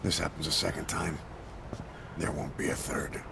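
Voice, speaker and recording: An actor playing a grim military leader in a video game cutscene, gravelly, terse and authoritative. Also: male voice, very deep voice, little pitch movement, normal speed, heavy background noise, full character voice. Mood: grim, stern.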